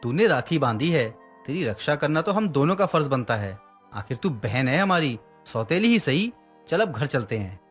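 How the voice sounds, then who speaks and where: Protective young stepbrother, earnest and principled, slightly high register , acted by a man. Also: sing-song, normal speed, slightly rough, faint music behind. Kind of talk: dramatized moral story acting.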